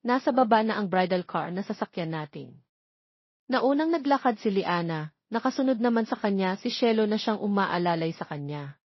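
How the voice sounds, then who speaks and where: A woman narrates a scripted drama. Her pitch sits in the middle range for a woman. The sound is very dry and somewhat dull, with little top end. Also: no room sound. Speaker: audio story narrator.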